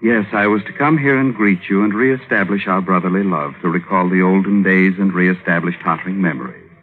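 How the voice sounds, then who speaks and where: An actor playing a poor, sardonic shepherd, measured and terse in old radio drama style. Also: male voice, sits low, lightly acted. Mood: bluntly candid. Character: poor, sardonic shepherd son, bluntly candid. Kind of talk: 1950s radio drama acting. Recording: muffled, noisy old radio recording.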